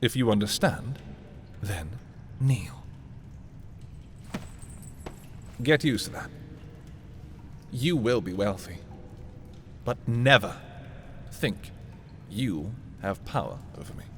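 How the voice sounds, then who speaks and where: Man acting a deep, slow-spoken possessive prince. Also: lively intonation, slight background noise. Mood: cold, commanding. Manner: slow, deliberate, imperious yet intimate. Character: possessive, commanding young prince, darkly romantic schemer. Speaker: audio roleplay performer. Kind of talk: dark fantasy ASMR roleplay acting.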